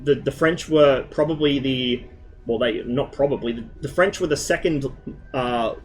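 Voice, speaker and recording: Guy on a stream just rambling to chat, swears a ton, slight trouble with his l's, mid-pitched, soft music going behind. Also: low-quality recording. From Australia. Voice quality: mild speech impediment on L sounds. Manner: rambling, swears a lot, jumps between tangents. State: lingering cough.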